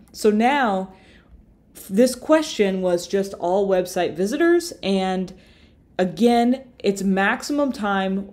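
A young woman walking viewers through a screen-share software tutorial in a relaxed, explanatory way, with fillers and self-corrections, recorded on a webcam in a small room. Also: mid-range voice. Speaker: marketing tutor.

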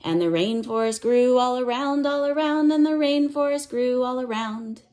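A woman's voice: high-pitched, normal speed, a little mellow. A woman reading a picture book aloud to young children, with sing-song verse and simple explanations and questions. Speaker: read-aloud storyteller for children.

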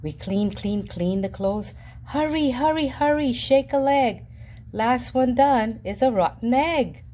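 A woman reading a children's picture book aloud in a lively, sing-song storybook voice with playful jumps in pitch. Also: slow. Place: indoors at a table, reading an open book. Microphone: camera above the book, reader unseen.

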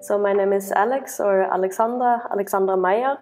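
A woman's voice: medium pitch, fairly quick, darkish tone, faint music behind. A woman from Norway, a social anthropologist, answering interview questions in a thoughtful way with fillers and restarts.

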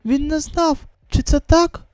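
A woman acting a high-strung, emotional young survivor in a manga dub. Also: fairly even intonation, a little slow, a little mellow, no room sound, clean studio sound. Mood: anguished.

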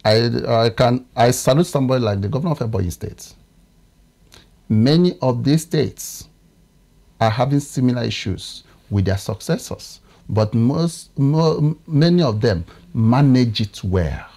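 A man in his thirties with a Nigerian English accent, a political analyst talking in a TV studio, often pausing to search for words. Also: mid-range voice, lively intonation, dark tone. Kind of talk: studio discussion.

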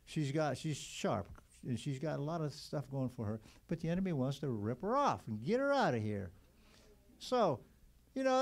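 A man's voice: medium pitch, darkish tone. An older man, a pastor, speaking on a church stage into a handheld mic, folksy and teasing. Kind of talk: graduation ceremony remarks.

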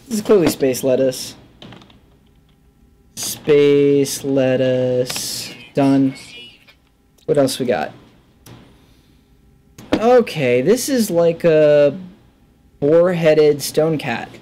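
A man in his thirties giving casual game commentary, thinking aloud in a halting, musing, bemused way into a headset mic. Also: mid-range voice, mellow, slight background noise. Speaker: gaming video host. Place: indoor room at a desk.

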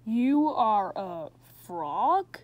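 A woman voicing an anxious, easily alarmed male elephant from a picture book, reading slowly and wonderingly. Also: mid-range voice, big pitch swings, narrow, tinny audio, pitched below the performer's own voice. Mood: puzzled. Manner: slow, wondering storybook reading.

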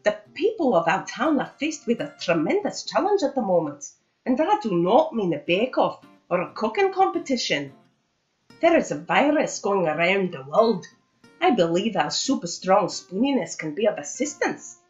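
A woman's voice: medium pitch, big pitch swings, normal speed, soft background music, natural voice. A woman voicing a young male wooden spoon in a storybook, an earnest, rallying leader, in a slightly muffled recording.

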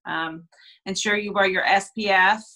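A woman in her thirties with a bright, forceful voice walks through skincare advice on a video call. She talks casually, with frequent fillers, explaining each point in turn. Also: medium pitch, fairly even intonation, normal speed. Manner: chatty, many fillers, explains step by step. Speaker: skin clinic therapist.

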